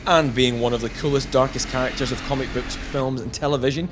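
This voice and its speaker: A man's voice: medium pitch, fairly even intonation, fairly quick, noisy background. A man narrating a scripted video game review with jokey, chuckling asides.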